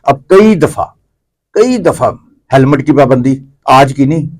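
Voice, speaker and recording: A man's voice: mid-range voice, lively intonation. A middle-aged Pakistani man giving opinions as an interview guest, explaining at length and repeating phrases conversationally. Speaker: interview guest, theatre commentator.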